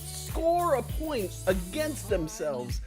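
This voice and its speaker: Young male stream host, lively voice with faint music underneath. Swears a lot and rambles, swinging from low mumbles to loud shouts. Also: takes it slowly. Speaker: livestream host. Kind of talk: casual live stream chat.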